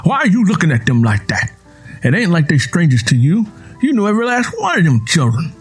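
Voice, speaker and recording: A high-voiced young rural husband, acted out by a male audiobook narrator. His pitch swings widely as he badgers and questions in folksy dialect. He is rough, domineering and volatile. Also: wildly swooping pitch, a little fast, faint music behind, full character voice. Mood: suspicious, scolding.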